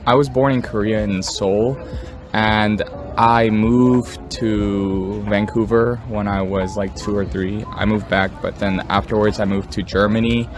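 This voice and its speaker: A young man casually answering street interview questions outdoors on a campus, relaxed with fillers. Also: on the low side, unhurried, music in the background.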